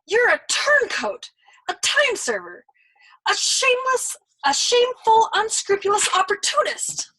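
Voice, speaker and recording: A woman voicing an indignant young American airman who shouts his outrage in a breathy voice, as she reads a storybook aloud. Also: very high-pitched, wildly swooping pitch, measured pace, voice pushed up, full character voice, low-quality recording. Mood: disbelieving.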